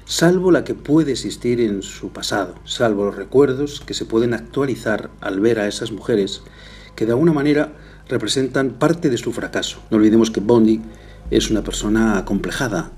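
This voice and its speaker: A man's voice: lowish voice, normal speed, darkish tone, husky. A man giving emphatic expert commentary as a forensic psychiatry doctor, piling up words for weight. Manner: emphatic, piles up adjectives and repetitions.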